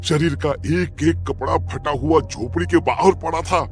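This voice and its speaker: A man playing a concerned, gossiping villager with an urgent, dramatic manner in an animated horror story. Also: a little high, moderate pace, faint music behind, natural voice. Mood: alarmed.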